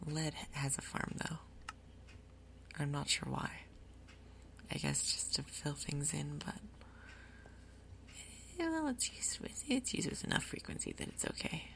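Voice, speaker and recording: A woman doing soft-spoken ASMR game commentary in a breathy half-whisper, with a casual, rambling, unhurried manner. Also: deep voice, big pitch swings, slight background noise. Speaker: gaming video host.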